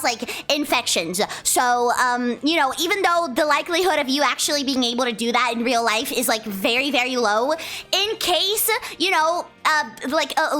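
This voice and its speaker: A young woman streamer talks over a video she is reacting to, rambling and restarting her sentences, swearing freely. Her voice sits high and sounds bright and sharp, with faint music behind her. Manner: rambling, swears a lot, laughs often, many restarts. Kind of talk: casual reaction commentary.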